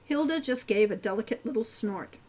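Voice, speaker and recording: An older woman narrating her own novel from home at a desk microphone. Her voice sits high and moves freely, with a gentle, expressive storytelling manner. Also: a little fast, a little hiss or hum. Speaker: author reading her novel. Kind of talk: audiobook narration.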